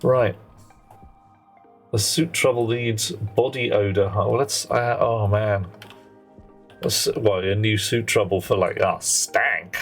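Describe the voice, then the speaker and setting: Male game streamer, unhurried and gravelly, chatty with his viewers, faint music behind. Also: fairly low pitch, fairly even intonation. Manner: rambling, self-correcting, chatty with viewers. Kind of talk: casual live gameplay commentary. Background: faint music and a child playing nearby.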